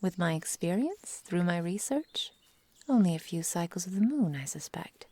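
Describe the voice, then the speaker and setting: Casting: possessive immortal witch played by a woman, low-pitched, whispery and mellow, formal and tenderly doting, for ASMR roleplay. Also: animated, moderate pace, slight background noise, natural voice. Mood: devoted, resolute. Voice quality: soft, breathy, whispery. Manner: tender endearments, formal old-fashioned storybook phrasing. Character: possessive, adoring immortal witch living in the forest. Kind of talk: intimate ASMR roleplay, slow dramatic delivery.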